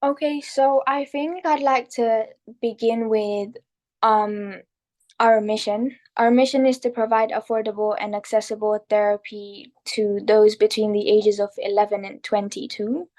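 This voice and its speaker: A woman's voice: mid-range voice, steady tone, unhurried, a little mellow. A young woman with a Kenyan accent, talking earnestly as a guest about founding her nonprofit, with frequent restarts. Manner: earnest and self-deprecating, with restarts. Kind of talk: casual interview talk.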